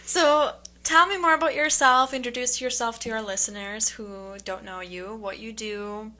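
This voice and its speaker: A woman hosting a podcast interview in a casual, friendly chat, laughing often and answering with quick encouragement. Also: a little high, fairly even intonation, bright, forceful tone. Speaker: podcast host.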